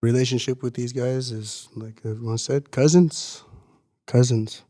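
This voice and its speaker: A man's voice: mid-range voice, steady tone, unhurried, warm tone, dry recording, studio quality. A man in his thirties from Washington state, telling personal stories in an earnest, reflective way. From Washington state, United States. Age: 30s. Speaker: community food security worker. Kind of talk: personal storytelling in conversation.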